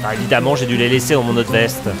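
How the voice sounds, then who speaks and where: A man's voice: medium pitch, flat delivery, fast, loud music behind the voice, lightly acted. A man playing a grumbling, nostalgic office administrator in an amateur audio drama, talking with exasperated sighs. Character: grumbling middle-aged male office administrator.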